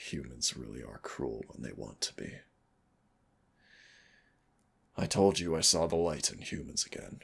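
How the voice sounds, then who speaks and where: A man voicing a brooding demon prince who is tender toward his hunter lover, gravelly and husky, slow and intimate in a romantic audio roleplay. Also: extremely low pitch, lively intonation, fairly bright tone, full character voice. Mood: sorrowful, tender. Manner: slow, dramatic, intimate delivery. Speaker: voice actor.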